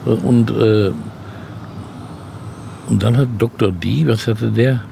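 Gravelly older man chatting casually. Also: fairly low pitch, slow. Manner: easygoing, agreeing with short murmurs. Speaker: guest author. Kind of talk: casual conversation. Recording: background vehicle noise.

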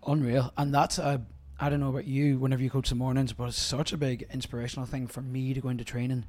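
Hoarse man, voice going. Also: mid-range voice, level tone, fast, a little mellow. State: hoarse, losing his voice. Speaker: coach and co-host. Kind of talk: casual podcast chat.

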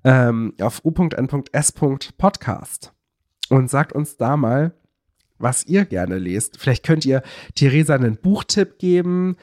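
A man's voice: medium pitch, lively intonation, measured pace, a little mellow, no room sound, clean studio sound. A man co-hosting a casual podcast, explaining things in a searching way and often correcting himself. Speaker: podcast co-host.

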